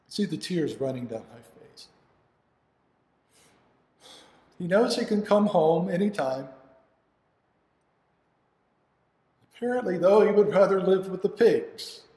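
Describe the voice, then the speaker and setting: A man acting a devoted, grieving father in a dramatic monologue, telling of his lost son haltingly and earnestly, with dramatic pauses. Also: a little high, darkish tone. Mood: distressed. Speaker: actor performing an audition monologue. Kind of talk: dramatic first-person monologue. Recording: echoey hall with long reverb.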